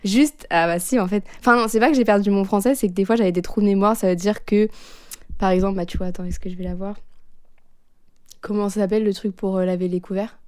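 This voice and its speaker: A woman's voice: mid-range voice, fairly even intonation, fast, darkish tone. A young woman from France, a podcast guest, chatting casually and animatedly about coming home from abroad. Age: young adult. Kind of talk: casual chat.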